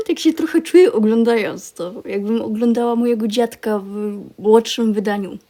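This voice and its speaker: A woman's voice: on the high side, moderate pace, dark tone. A woman from Poland, a VTuber, giving chatty, wry and incredulous reaction commentary. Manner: chatty, wry and incredulous, laughs while telling stories. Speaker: VTuber streamer.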